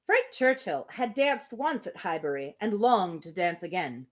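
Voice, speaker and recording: Woman, livestream host, animated pitch swings, novel read-aloud, casual playful asides. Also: a little slow.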